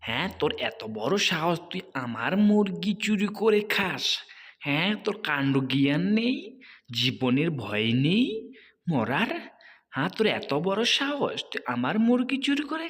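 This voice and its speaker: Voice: mid-range voice, sing-song, moderate pace. An actor voicing a hot-tempered, bullying male tiger in a cartoon animal story, ranting in blustering, repeated threats. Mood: enraged. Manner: blustering, repetitive threatening tirades. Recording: long hall-like reverb on the voice.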